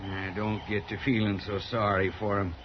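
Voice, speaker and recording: A man's voice: fairly low pitch, flat delivery, normal speed, close to an everyday voice. Surly, defensive frontier killer, acted by a man. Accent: American. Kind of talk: 1950s radio western acting. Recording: dull, slightly noisy old radio recording.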